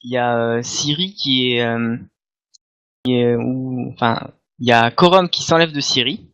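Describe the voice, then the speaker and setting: A man's voice: mid-range voice, measured pace, a bit bright, smooth. A man chatting casually and hesitantly as a podcast panelist. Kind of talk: casual chat. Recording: dull, lo-fi sound.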